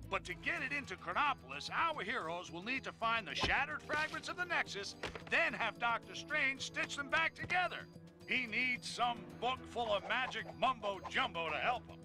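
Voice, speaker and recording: A high, brash reporter. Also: male voice, expressive, moderate pace, music in the background. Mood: ranting. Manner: brash, ranting broadcast patter. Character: excitable male news reporter, cynical. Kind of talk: video game cutscene acting.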